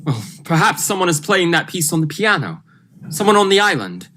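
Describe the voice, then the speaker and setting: A woman's voice: fairly low pitch, very animated, a little fast, fairly bright tone. A woman playing a steadying, practical doctor in a vintage radio thriller, speaking in an earnest, reassuring way. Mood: reassuring, reasoning.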